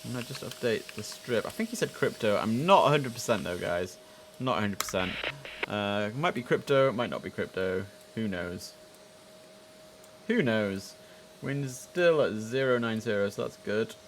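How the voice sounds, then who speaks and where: Man, casual gameplay commentary, air traffic control instructions, thinking aloud, informal, clear ambient noise behind. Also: fairly low pitch, animated, normal speed, low-quality recording. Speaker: streamer playing an air traffic control simulator.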